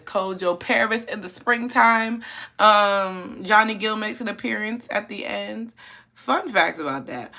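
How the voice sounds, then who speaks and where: A woman with a rather deep voice, chatting sassily through casual film commentary. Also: pushed and bright. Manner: sassy and chatty, says honey and okay.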